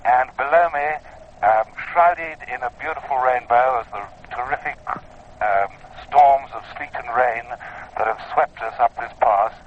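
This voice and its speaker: A man reporting unscripted from the field for radio, in a grandiose, awed and halting manner. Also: mid-range voice. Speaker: expedition reporter. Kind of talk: unscripted on-location radio commentary. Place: outdoors, sheltering under a blanket in wind. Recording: phone-like, noisy vintage field recording. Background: noise, wind.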